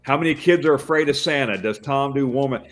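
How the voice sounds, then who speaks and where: An older man with a big booming voice talks casually as a podcast guest, restarting his sentences often. His pitch sits in the middle for a man. Faint music plays underneath. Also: brisk. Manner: loud, booming, chatty with many restarts. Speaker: guest, professional Santa.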